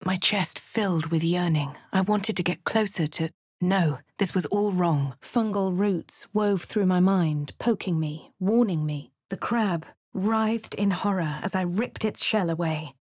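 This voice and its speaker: A posh female adventurer with a posh English accent, recounting her fights in first person. Her voice sits a little low and has a husky grain, recorded dry and close in a game studio. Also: a little slow, a little mellow, dry recording. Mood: measured. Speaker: player character voice.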